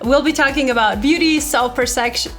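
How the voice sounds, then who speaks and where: A woman's voice: high voice, a little fast, fairly bright tone, soft background music. A woman co-hosting a wellness podcast, opening the show with a bubbly, giggly manner. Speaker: podcast co-host. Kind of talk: casual podcast intro and chat.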